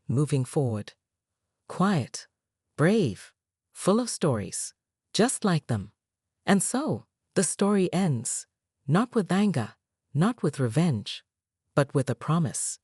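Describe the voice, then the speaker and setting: Low-voiced woman narrating with lively inflection. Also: takes it slowly, slightly rough, no room sound, clean studio sound. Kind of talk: scripted story narration.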